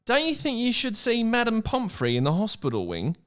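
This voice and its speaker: A man's voice: very high-pitched, wildly swooping pitch, brisk, clean tone, pitched above the performer's own voice, full character voice. A man reading comic fan fiction aloud, voicing schoolboys with an exaggerated delivery. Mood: concerned.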